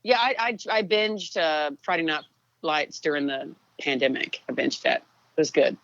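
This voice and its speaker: Texan woman, low voice, laid-back rambling talker, dry muffled recording. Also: normal speed, creaky, no room sound. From Texas. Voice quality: low singing voice. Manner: relaxed, rambling with many fillers. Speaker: songwriter guest. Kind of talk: casual interview chat.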